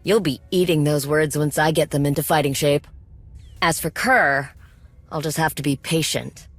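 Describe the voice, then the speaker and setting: Tough practical mechanic, wry, guarded, matter-of-fact, game cutscene, faint music behind. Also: female voice, low-pitched, fairly even intonation, normal speed, no room sound. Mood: guarded concern. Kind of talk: video game cutscene acting.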